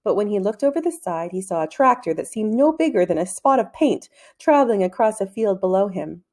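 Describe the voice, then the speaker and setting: A woman reading a children's novel aloud as a storyteller, in measured, clearly phrased narration. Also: mid-range voice, lively intonation, darkish tone.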